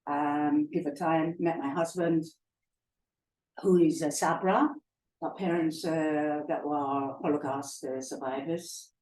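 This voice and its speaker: A woman's voice: low-pitched, fairly even intonation, slow pace, darkish tone. Older London-born woman, roomy hall. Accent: London, softened. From England. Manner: chatty, anecdotal, with frequent fillers and restarts. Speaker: guest speaker. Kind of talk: talk to an audience. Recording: echoey hall, heard from far off.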